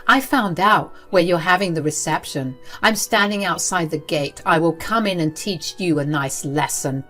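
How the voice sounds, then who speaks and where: Woman acting out a entitled middle-aged mother-in-law, spiteful, accusatory, melodramatic storytime villain, low-key music behind. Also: moderate pace, sharp tone. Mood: spiteful, accusing.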